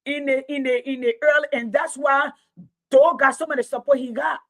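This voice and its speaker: A woman's voice: high voice, fairly even intonation, normal speed, fairly bright tone. A Liberian woman, a native Mano and Gio speaker with a Liberian accent, giving blunt, emphatic unscripted political commentary as an online host and storyteller. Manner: blunt, emphatic, repeating phrases for effect.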